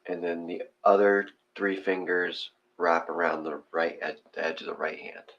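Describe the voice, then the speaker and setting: A man with a very low voice instructs a guided meditation step by step. His delivery is informal and gently encouraging. The recording is dull and slightly muffled. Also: steady tone, normal speed.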